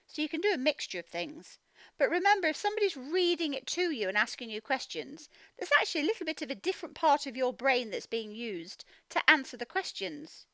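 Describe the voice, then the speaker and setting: A woman teacher giving a children's read-aloud phonics lesson, speaking in an animated, emphatic, instructional way and sounding out words. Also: high-pitched, fairly quick, no echo. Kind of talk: children's read-aloud lesson, explaining directly to young learners.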